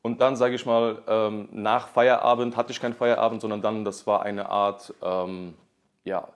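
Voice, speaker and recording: Man in his thirties, deep-ish voice, relaxed, lots of fillers, podcast guest. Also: normal speed. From Germany. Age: 30s. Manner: relaxed, many fillers and restarts. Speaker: podcast guest, real estate agent. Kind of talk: casual podcast interview.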